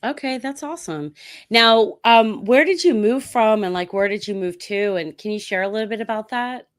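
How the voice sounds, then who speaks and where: A woman's voice: a little high, normal speed, no echo, studio quality. A woman hosting a podcast interview, asking questions and encouraging her guest with short affirmations. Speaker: podcast host. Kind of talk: casual interview conversation.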